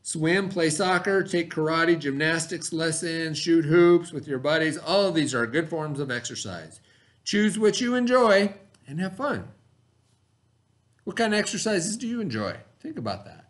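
A middle-aged American man, an elementary teacher, reading a lesson aloud to children in an expressive, instructional manner. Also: fairly high pitch. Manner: expressive, instructional, pauses to ask the listener questions. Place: small indoor classroom, at a desk.

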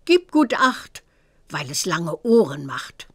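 A woman voicing a witch teacher in a children's computer game, reciting with a deliberate, sing-song delivery. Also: on the low side, very animated, a bit bright, breathy edge, full character voice. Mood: instructive.

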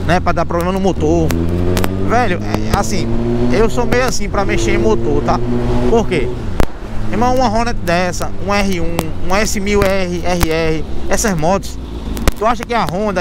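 A young man chatting casually as a motovlogger while riding a motorcycle through city streets, talking into a helmet mic. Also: high-pitched. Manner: chatty, explaining, peppered with "velho" and "tá?". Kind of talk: casual motorcycle vlog talk. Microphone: helmet mic on a moving motorcycle. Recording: loud wind and traffic noise.

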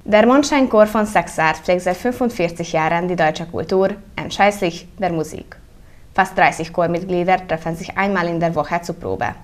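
A young woman reporter reading a scripted television news voice-over in an even manner. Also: fairly low pitch, moderate pace. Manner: even, read-out delivery.

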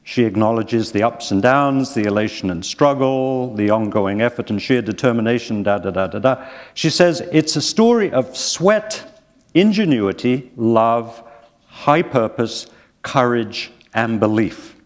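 An older man hosts a public onstage interview, measured and gently wry as he introduces his guest and reads aloud. His voice sits at an ordinary pitch for a man. It carries the long reverb of a large event hall. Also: expressive. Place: library event hall with audience.

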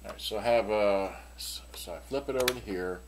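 Low-voiced man muttering over loud background noise. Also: brisk. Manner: muttering to himself, rambling as he works. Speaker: product reviewer and seller demonstrating vintage electronics. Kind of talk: casual, unscripted hands-on product demonstration. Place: cluttered indoor workbench. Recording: noisy room recording with clicking sounds.

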